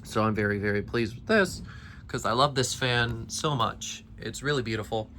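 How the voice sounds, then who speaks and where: A man's voice: medium pitch, moderate pace, pushed and bright, slight background noise. A young man with a tired, slightly worn voice, chatting eagerly in a casual vlog update, rambling with restarts. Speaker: ceiling fan collector. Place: basement collection room.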